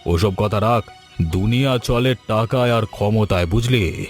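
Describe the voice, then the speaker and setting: A man's voice: on the low side, measured pace, soft background music, close to an everyday voice. A man voicing a haughty older rich landlord in a cartoon, pompous and scornful. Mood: threatening. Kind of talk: scripted cartoon dialogue.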